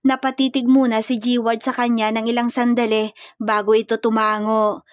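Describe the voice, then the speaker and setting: A woman's voice: fairly high pitch, level tone, moderate pace, dry recording, studio quality. A woman storyteller reading scripted romance fiction in a dramatic narrative style.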